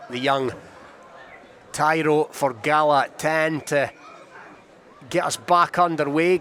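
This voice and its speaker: A Scottish man giving live radio rugby commentary in measured phrases with informal remarks, from an outdoor ground. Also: fairly high pitch.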